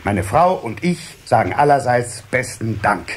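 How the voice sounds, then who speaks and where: A man's voice: mid-range voice, big pitch swings, a little slow, slight background noise. An actor playing a proud, hospitable water-sprite father in a children's audio play, hearty and ceremonious.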